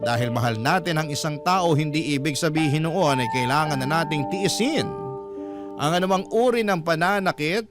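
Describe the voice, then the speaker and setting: A man narrating an emotional story as a radio host, measured and sentimental. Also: fairly high pitch. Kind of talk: dramatic first-person story narration.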